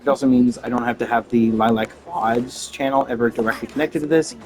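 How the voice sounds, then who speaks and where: A man's voice: medium pitch, fairly even intonation, a little fast. Man, game streamer, casual rambling chat, self-interrupting, slangy mild grumbling, light music in the background. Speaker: game livestreamer.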